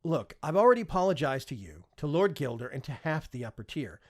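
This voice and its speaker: Hot-tempered young royal acted by a man, indignant, lively pitch, husky edge, audiobook drama. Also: brisk. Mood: indignant, pleading. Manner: indignant, argumentative. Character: rebellious exasperated young prince, hot-tempered. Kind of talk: audiobook character acting.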